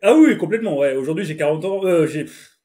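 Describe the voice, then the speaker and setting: A man's voice: a little high, expressive, quick pace, mellow. A man in his thirties hosting a podcast, chatty and slangy, often laughing. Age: 30s. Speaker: podcast host. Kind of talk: casual podcast chat.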